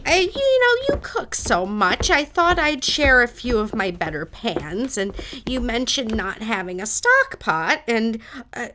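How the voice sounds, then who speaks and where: A woman's voice: on the high side, very animated, unhurried, few pauses, background noise. A woman playing a chatty, genteel older neighbor lady in an audio drama, with gracious, old-fashioned manners. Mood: cheerful, fluttery.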